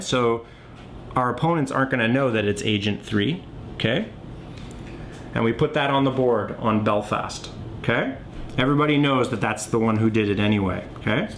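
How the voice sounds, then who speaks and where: A man's voice: medium pitch, a bit bright. Man explaining game rules, noisy home recording. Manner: thinks aloud, halting, often adds "okay?". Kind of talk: informal board game tutorial. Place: home kitchen. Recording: noisy home camera audio with room ambience.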